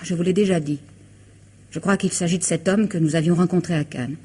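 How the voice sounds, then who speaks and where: Anxious evasive wife, breathy veiled voice, defensive, confessional, radio mystery. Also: female voice, lowish voice, steady tone, fairly quick, mellow. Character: distressed, evasive married woman questioned by police. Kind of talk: French radio mystery drama acting.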